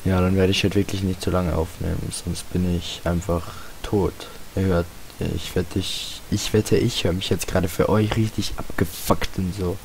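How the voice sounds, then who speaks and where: Teenage male gamer, low-pitched, a bit hoarse, slangy rambling commentary, hissy home microphone. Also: level tone, moderate pace, a little mellow. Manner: rambling, self-deprecating, slangy. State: slightly hoarse, sore throat. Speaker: gaming video host. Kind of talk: casual Let's Play commentary. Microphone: home computer microphone.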